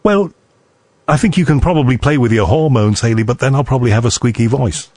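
A man's voice: mid-range voice, fast, a little mellow, no echo. A man hosting a radio talk show, chatting casually and rambling with frequent restarts and self-deprecating asides. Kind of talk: casual on-air chat. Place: radio studio.

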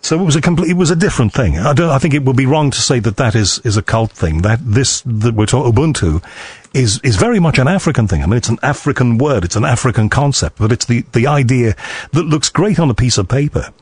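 A man's voice: mid-range voice, animated, fast, darkish tone, slightly rough, no echo. A man hosting a radio talk show, chatting casually and rambling with frequent restarts and self-deprecating asides. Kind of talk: casual on-air chat. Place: radio studio.

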